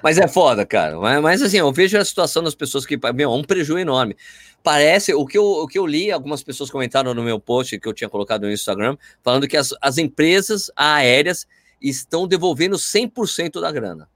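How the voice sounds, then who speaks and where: A young Brazilian man speaks quickly with a lively, swinging pitch and a dry, close sound. He is opinionated and rants in slang while hosting a casual chat. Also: dry recording. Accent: Brazilian Portuguese. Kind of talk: casual chat about running.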